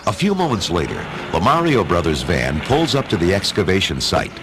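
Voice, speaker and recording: A man's voice: deep voice, very animated, fairly quick, music in the background. A man reading scripted narration for a dramatic audio story.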